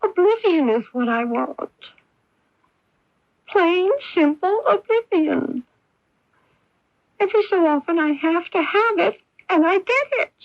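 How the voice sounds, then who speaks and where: An actress playing a middle-aged mother, wounded, bitter and quietly desperate, speaking with halting, emphatic repetitions in a radio drama. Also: extremely high pitch, expressive, creaky, dry recording, lo-fi sound, exaggerated voice. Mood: anguished despair. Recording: band-limited 1980s AM radio broadcast.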